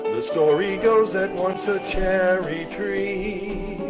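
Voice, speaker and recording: Man, crooning, old popular standard, extremely slow phrasing, prominent music behind. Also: high-pitched. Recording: singing over loud musical backing. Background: loud music.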